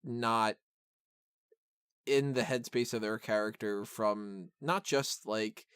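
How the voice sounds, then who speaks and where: Clear-voiced man chatting casually, dry sound. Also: lowish voice, steady tone, takes it slowly, fairly bright tone, no echo. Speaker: podcast host reviewing a film. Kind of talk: casual film discussion.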